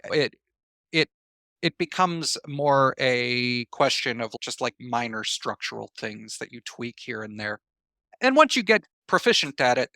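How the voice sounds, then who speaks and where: Guy being interviewed, bright slightly low voice, dry close sound, thinks out loud and explains with comparisons. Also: no echo. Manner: reflective, explains through examples and comparisons. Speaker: interview guest, writer. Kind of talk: conversational interview answers.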